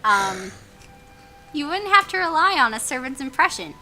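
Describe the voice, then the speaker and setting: An excitable, geeky woman playing a story game, reading it out playfully with self-mocking asides. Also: high voice, moderate pace. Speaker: gaming channel player reading out a story game. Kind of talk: playful Let's Play reading with joking asides. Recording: music playing behind the voice.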